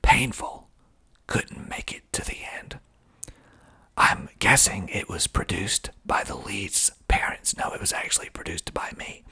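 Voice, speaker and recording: A man whispering breathily in ASMR style into a close mic, reading aloud slowly and deliberately with dry asides. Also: lowish voice, bright, forceful tone, husky. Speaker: filmmaker and ASMR video host. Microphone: close mic with pop filter.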